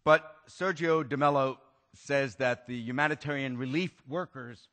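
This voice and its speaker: A man shares his perspective on a conference panel in a conversational, reflective manner. His voice is bright and forceful. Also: medium pitch, normal speed.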